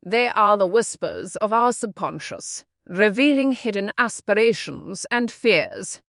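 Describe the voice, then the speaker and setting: A woman reading scripted practice passages aloud in a measured, careful way. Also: medium pitch, lively intonation, fairly bright tone, no echo, clean studio sound. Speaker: narrator of exam practice passages. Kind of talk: scripted read-aloud narration.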